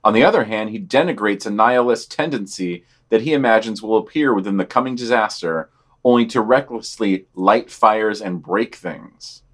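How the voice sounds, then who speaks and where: A man's voice: lowish voice, normal speed. A man reading news summaries for a podcast, with dry, offhand comments on each item.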